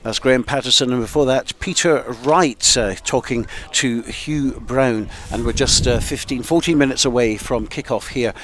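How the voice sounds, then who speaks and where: Male sports presenter, medium pitch, live links, faint ambience. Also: moderate pace, hardly any pauses. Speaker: radio sports programme presenter. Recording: faint background noise at ground.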